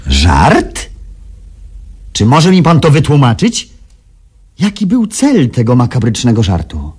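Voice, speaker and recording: An actor in a Polish radio play playing a bitter, shaken but resolved man who also narrates, in a grave, deliberate manner. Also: medium pitch, huge pitch swings, a little mellow, slightly rough, full character voice. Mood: indignant.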